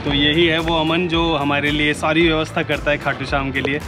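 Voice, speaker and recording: A man's voice: fairly high pitch, music in the background. Chatty man vlogging on a handheld camera. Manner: relaxed and chatty, with filler pauses. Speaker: food vlogger. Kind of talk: casual food vlog. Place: airplane-themed restaurant, indoors and outdoors. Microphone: action camera held at arm's length.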